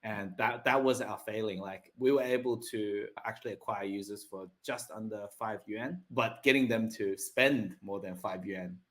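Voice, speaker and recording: A man speaks as a guest in a relaxed interview, his voice sitting a little low. He talks in a loose, conversational way and often tags his points with a quick question. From Australia. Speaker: podcast guest. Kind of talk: casual interview talk.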